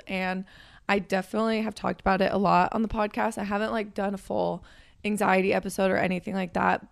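A hoarse young woman in her twenties hosting a podcast in a chatty, upbeat way, her voice raspy from just waking up. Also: medium pitch. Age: 20s. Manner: chatty and upbeat, with frequent fillers. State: hoarse from waking up and a weekend of talking. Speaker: podcast host and personal trainer. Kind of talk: casual chat.

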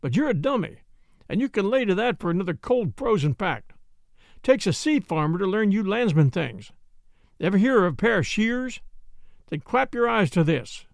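Guy doing a cocky salty sailor, brash and mocking, lively. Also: sits high, normal speed, vocal fry, no room sound, studio quality, heavily acted.

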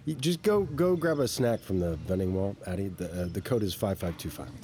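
Harried security-chief dad, middle-aged, deep voice, lively intonation, stammering, audio drama. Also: male voice, a little fast, slight background noise. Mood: gentle, resigned. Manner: hesitant, stammering. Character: harried, indulgent father and company security chief. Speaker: head of security. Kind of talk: naturalistic audio drama acting.

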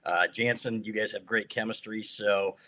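A man's voice: on the low side, fairly even intonation, moderate pace, bright, forceful tone. An American man hosting a sports podcast, chatting casually with a friend in an informal, joking, teasing way. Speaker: sports podcast host interviewing a colleague.

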